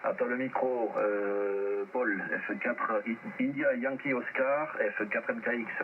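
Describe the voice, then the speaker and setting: A man's voice: mid-range voice, steady tone, slow pace. A man chatting casually and a little hesitantly as an amateur radio operator, over a radio transmission. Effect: ham radio transmission. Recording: muffled, noisy radio link.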